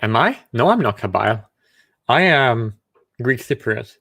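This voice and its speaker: A man's voice: medium pitch, big pitch swings, measured pace, creaky, sharp tone, slightly rough. A man hosting casual video chats with strangers, asking curious questions and often stumbling and restarting his sentences. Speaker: online video chat host.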